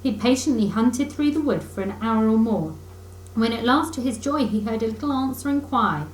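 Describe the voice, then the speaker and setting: A woman reading a children's book aloud in expressive storybook narration, like a teacher reading to pupils. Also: a little high, normal speed. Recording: echoey room with faint noise.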